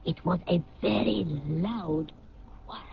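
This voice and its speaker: An actress playing a widow who recounts a quarrel in a measured, grave way. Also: female voice, fairly low pitch, gravelly, noisy background. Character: grave, insistent widow of a murdered man. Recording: dull lo-fi 1970s radio play.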